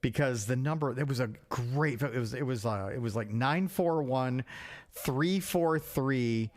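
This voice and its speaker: A man's voice: mid-range voice, a little slow. A middle-aged man talking casually and conversationally as a podcast co-host.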